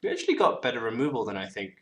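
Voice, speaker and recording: A man's voice: medium pitch, huge pitch swings, very fast. Chatty man narrating his card game. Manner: chatty, restarts words, murmurs card names. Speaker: co-host playing a card game. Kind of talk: casual gameplay commentary. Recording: faint background noise.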